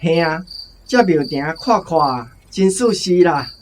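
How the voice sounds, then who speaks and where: An actor with a Taiwanese accent playing a humble, courteous head of a travelling opera troupe in a radio drama. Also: male voice, fairly high pitch, expressive, takes it slowly, slight background noise. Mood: grateful, polite. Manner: deferential, courteous.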